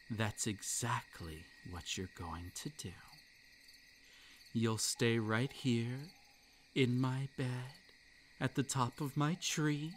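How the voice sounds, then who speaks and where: A man's voice: medium pitch, slight background noise. A man voicing an unhinged yandere kitsune. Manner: gleeful, unhinged laughter between lines; drops to soft breathy whispers. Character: possessive yandere male kitsune monster, menacing. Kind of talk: roleplay ASMR.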